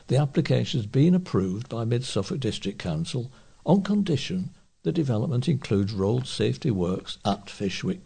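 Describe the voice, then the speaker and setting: A man's voice: medium pitch, gravelly, darkish tone, husky. A man reading local newspaper reports aloud as a volunteer newsreader.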